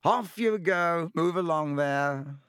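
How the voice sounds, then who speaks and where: A man voicing a vain, jovial teacher who booms with pompous, paternal self-satisfaction. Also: very animated, slow, fairly bright tone, no echo, clean studio sound, heavily acted. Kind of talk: audiobook character voice.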